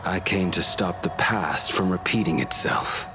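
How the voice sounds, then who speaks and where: A man playing a grim, haunted outlaw swordsman in a game cutscene, brooding and solemn, with a gravelly voice. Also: expressive, measured pace, music in the background. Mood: grave, resolute. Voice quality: very deep, gravelly. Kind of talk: dramatic game cutscene acting.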